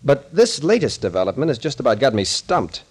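A man's voice: medium pitch, sing-song, brisk, husky. An actor playing a brusque, take-charge male captain and sleuth, commanding and no-nonsense, in 1940s radio drama style. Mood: grim, stumped.

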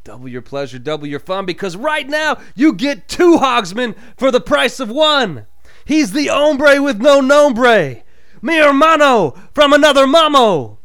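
A man putting on a booming, theatrical wrestling ring announcer voice while stuffy and nasal from a nose swab. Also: big pitch swings, clear, resonant tone, no echo, clean studio sound, heavily acted. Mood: hyped. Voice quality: shouting, drawn-out hype delivery.

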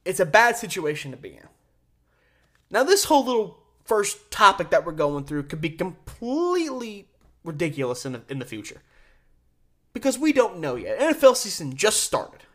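A male sports podcast host with a very lively, swinging pitch range. He speaks emphatically and with strong opinions, stretching key words for stress as he delivers solo commentary. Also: normal speed.

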